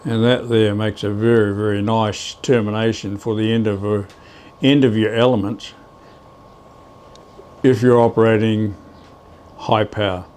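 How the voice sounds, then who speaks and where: A man presenting practical DIY tips in a casual, unscripted demonstration, often pausing mid-phrase to search for words. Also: on the low side, flat delivery, darkish tone.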